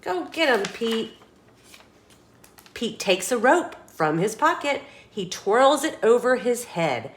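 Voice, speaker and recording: A woman reading a children's picture book aloud as a storytime host, expressive and dramatic, putting questions to young listeners. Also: fairly high pitch, slow, a little hiss or hum.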